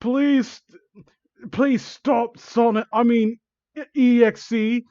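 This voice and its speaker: A frightened male victim, acted out by a man reading fan fiction, pitched high and loud. He is panicky and indignant, stammering and pleading. The recording is a little dull. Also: expressive, normal speed, no room sound. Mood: desperate pleading.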